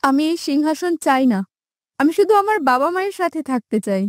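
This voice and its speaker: Woman acting plaintive, high-pitched young girl. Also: big pitch swings, a little fast, dry recording, studio quality. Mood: pleading, sad. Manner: plaintive, earnest. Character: young girl, long-lost princess, hurt and pleading. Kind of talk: melodramatic fairytale cartoon acting.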